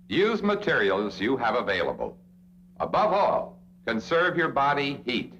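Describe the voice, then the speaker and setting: Dull old-film recording, animated American male narrator. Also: medium pitch. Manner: direct address to the listener, rhetorical questions, emphatic. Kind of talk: 1960s instructional film narration. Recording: dull, noisy old film soundtrack with hum.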